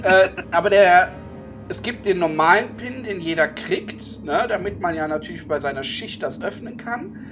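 A man's voice: sits high, animated, normal speed, a bit bright. A man game mastering a tabletop session, chatting casually and often repeating words as he explains. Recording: music playing under the voice.

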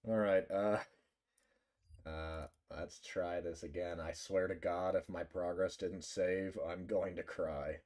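A man's voice: low-pitched, fairly even intonation, slow pace, a little mellow. A man live streaming a game, chatting casually in a rambling, jokingly dramatic way. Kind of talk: casual gaming livestream chat.

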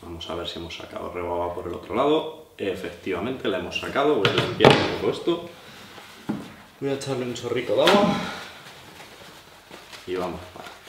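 A man with a La Mancha Spanish accent walks through a sharpening job in a practical, thinking-aloud way. His voice sits fairly low and comes across from a little distance in a roomy space. The rasp of steel on stone runs clearly under his words. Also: animated, a little fast, long pauses, noisy background. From Albacete, Spain. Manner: explanatory, practical, thinking aloud while working. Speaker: knife sharpening instructor. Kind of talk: hands-on tutorial demonstration. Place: indoor room at a table, working with a sharpening stone.

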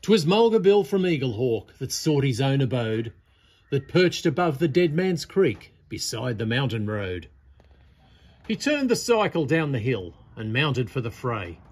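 A man recites a storybook poem aloud with theatrical flair. His voice is somewhat husky at a middling pitch, and it rises and falls widely with the verse. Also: sing-song, a little slow, darkish tone. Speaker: storyteller reading a picture book aloud. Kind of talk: expressive read-aloud poetry recitation. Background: birdsong.

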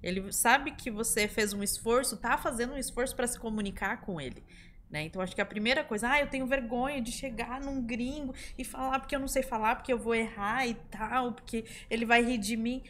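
A woman's voice: on the high side, brisk, a bit bright, a little hiss or hum. A woman, a language teacher, talking in a casual podcast discussion in a studio, explaining with everyday examples. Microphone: studio boom mic.

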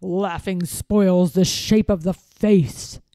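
A woman's voice: on the low side, steady tone, slow, warm tone, no room sound, clean studio sound, pitched below the performer's own voice. A woman voicing a scowling, pompous lord from a storybook who despises fun and speaks with disapproval. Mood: stern.

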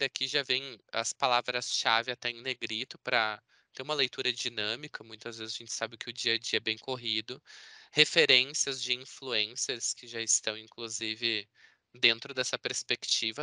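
Male Brazilian presenter, conversational and explanatory, very forward bright tone, clean and smooth. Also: mid-range voice, dry recording. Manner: chatty, explanatory, often seeking confirmation with tag words. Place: indoor room on a video call. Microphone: video call microphone.

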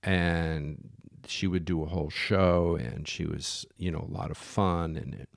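A man's voice: sits low, fairly even intonation, unhurried, vocal fry, no room sound, studio quality. A man telling casual stories about sailing charters, rambling with many fillers and restarts. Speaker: yacht charter captain. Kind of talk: casual storytelling. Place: Los Angeles.

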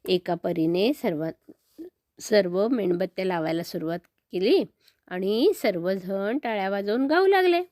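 A woman's voice: mid-range voice, lively intonation, a little slow, mellow, dry recording, studio quality. An older woman telling a story to children in a simple, grandmotherly way, often repeating words.

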